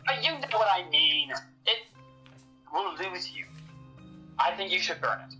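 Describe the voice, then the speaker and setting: Woman doing curious occult researcher guy, low but bright voice, dry and measured, audio drama. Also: animated, soft background music. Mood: firm. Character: curious, level-headed occult researcher named Henrik.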